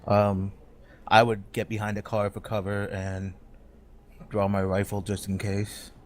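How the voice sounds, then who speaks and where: A man with a low, very even voice talks casually as a player in a role-playing game. There is faint noise behind him. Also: measured pace.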